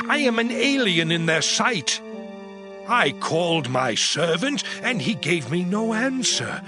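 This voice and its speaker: An actor playing a suffering man who pleads with his friends, in a dramatized scripture reading with anguished, slow declamation. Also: on the high side. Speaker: actor voicing Job. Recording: music bed under voice.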